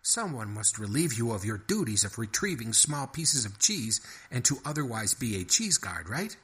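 A man's voice: medium pitch, big pitch swings, moderate pace, fairly bright tone, voice pushed up. A man voicing a scheming male mouse who pesters with stammering, wheedling questions. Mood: pushy. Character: fast-talking scheming male mouse, pushy.

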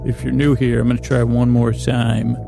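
A man with a creaky, gravelly voice, rambling gently and hesitantly as the friendly, goofy host of a bedtime podcast. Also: mid-range voice, monotone, normal speed, over music. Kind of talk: rambling, meandering bedtime monologue.

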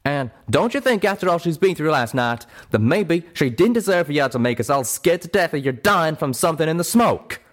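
A man voicing a gruff, protective male pegasus cowpoke with an American Southern accent in an audiobook. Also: medium pitch, wildly swooping pitch, normal speed, a bit bright, voice pushed up. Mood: angry scolding. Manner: drawling, folksy scolding. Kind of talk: audiobook character voice.

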